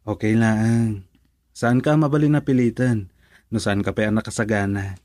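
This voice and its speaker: A man's voice: on the low side, steady tone, normal speed, a little mellow, dry recording, natural voice. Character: man acting earnest young boyfriend. Sound: dry. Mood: earnest, persuading. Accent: Ilocano. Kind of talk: Ilocano romantic radio drama acting.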